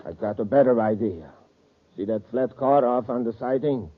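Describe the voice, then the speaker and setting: An actor playing a scheming, vengeful saboteur in a 1950s radio serial, giving orders in a calculating manner. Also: male voice, mid-range voice, moderate pace, lightly acted. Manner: commanding, calculating. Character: scheming, vengeful male saboteur, cool and commanding. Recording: noisy, tinny old-time radio recording.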